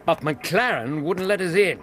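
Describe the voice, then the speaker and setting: An actor playing a loyal, earnest, puzzled English gentleman, the detective's companion in a radio drama, with an English accent and a genial, hesitant manner. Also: fairly high pitch, lively intonation, measured pace, slight background noise. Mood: opinionated.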